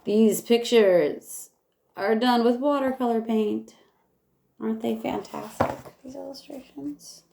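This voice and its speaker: A woman teacher reading a rhyming picture book aloud in a measured way, with casual asides. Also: a little high, vocal fry, mellow, a little hiss or hum.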